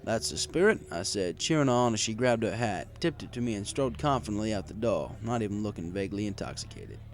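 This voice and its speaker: A man's voice: on the low side, animated, quick pace. A man reading a scripted first-person story in the manner of an audiobook narrator. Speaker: story reader.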